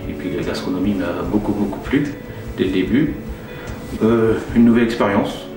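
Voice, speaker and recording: A man's voice: lowish voice, recorded from a distance. A man, talking informally and plainly about his job in an interview. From Nantes, western France. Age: 40s. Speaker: care-home head chef being interviewed. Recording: roomy, off-mic voice under clear music. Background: music.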